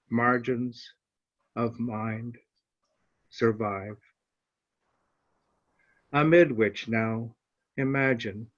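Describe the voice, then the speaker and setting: A man in his seventies speaks at a slow, measured pace as a poet presenting his work. The sound is dull and lo-fi, as from an online call, yet quite dry. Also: mid-range voice, no room sound. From Canada. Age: 70s. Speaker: poet reading own work. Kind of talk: informal introduction and measured poetry reading.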